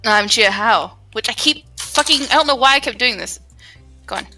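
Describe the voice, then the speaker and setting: A bright-voiced woman with lively, swooping pitch reads character lines in a group game. She chats and reacts casually, with faint music in the background. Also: moderate pace. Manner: animated, reactive. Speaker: co-player reading a character's lines.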